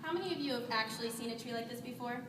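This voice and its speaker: A young woman from Nebraska, a student presenter giving a brisk, memorized part of a team presentation. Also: a little high, distant mic, noisy background. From Nebraska, USA. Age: young adult. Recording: echoey hall, recorded from afar.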